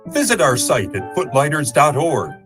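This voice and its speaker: A man's voice: fairly high pitch, big pitch swings, moderate pace, music in the background. A man reading as a scripted announcer in the style of old-time radio ads and sign-offs.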